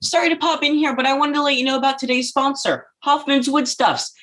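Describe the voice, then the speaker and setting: A man hosting an interview podcast, asking prepared questions and reading a sponsor spot in an even, measured way. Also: extremely high pitch. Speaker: podcast host.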